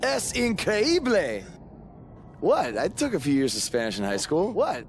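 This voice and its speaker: An actor playing a young pro wrestler, casual and sarcastic, in a video game cutscene. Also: male voice, sits high, wildly swooping pitch, a little slow, a little hiss or hum, full character voice.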